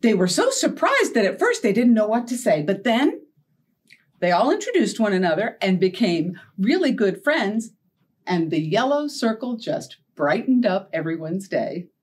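A woman's voice: medium pitch, moderate pace, slightly rough. A middle-aged American woman leading a children's storytime, speaking simply and encouragingly to young listeners as she teaches and tells stories. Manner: animated, encouraging, addresses young children with questions. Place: indoor storytime set with backdrop and felt board.

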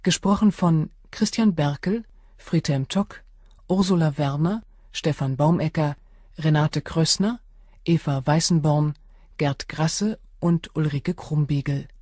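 An announcer reads the closing credits of a radio play from a script. The delivery is slow, in a very dry studio sound. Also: medium pitch, a little mellow, no echo.

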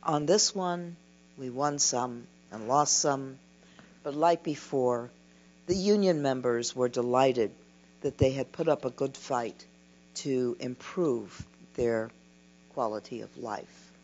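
An older woman giving a keynote lecture from a podium, reading a prepared talk in a slow, measured way. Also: extremely low pitch, a little mellow. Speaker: keynote speaker. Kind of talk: scripted conference lecture. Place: dim lecture hall at a podium. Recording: dull lo-fi recording with mains hum.

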